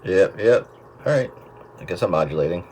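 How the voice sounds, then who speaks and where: A man's voice: sits low, expressive, fairly quick. A man chatting casually as a hobbyist over an old two-way radio. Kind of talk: casual on-air radio chat. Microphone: radio microphone. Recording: old radio set with loud static.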